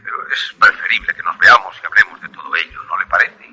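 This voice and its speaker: An actor in a radio drama playing a grave, insistent old professor with a raspy voice, heard over a telephone. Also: male voice, low voice, quick pace, sharp tone, exaggerated voice. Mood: guarded.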